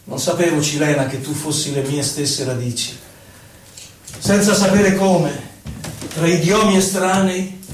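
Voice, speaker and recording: A man gives a solemn, theatrical poetry recital, declaiming in short phrases with pauses between them. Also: fairly high pitch. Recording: echoey, distant, noisy live radio broadcast.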